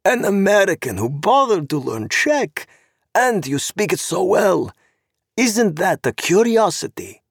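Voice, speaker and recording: A man voicing a cold, sharp-tongued woman who mocks and talks down to others. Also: high-pitched, sing-song, unhurried, gravelly, no room sound, clean studio sound, voice pushed up, exaggerated voice. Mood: mocking. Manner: mocking, condescending.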